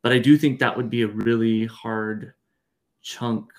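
A man's voice: lowish voice, fairly even intonation, unhurried. A man co-hosting a podcast, talking thoughtfully about faith and marriage in a rambling way with many fillers. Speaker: podcast co-host. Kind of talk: casual podcast chat.

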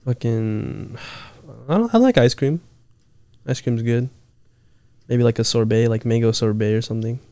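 A young man chatting on a podcast with a mellow, relaxed tone of voice. He jokes around, swears freely and laughs often. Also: medium pitch, normal speed, pauses often, clear, resonant tone, no echo. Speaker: podcast co-host. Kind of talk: casual chat.

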